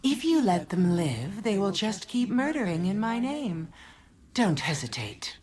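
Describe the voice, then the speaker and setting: A woman's voice: mid-range voice, big pitch swings, a little slow, darkish tone. An actress playing a possessed, taunting woman villain in a video game, menacing and insinuating. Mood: sinister taunting.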